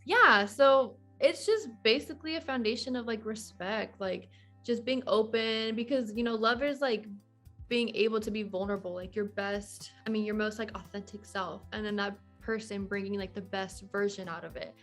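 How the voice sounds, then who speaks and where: A woman's voice: mid-range voice, moderate pace, a bit bright, soft background music. A woman in her twenties talking casually as a podcast guest, in a chatty, informal way peppered with "like". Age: 20s. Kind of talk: casual conversational interview.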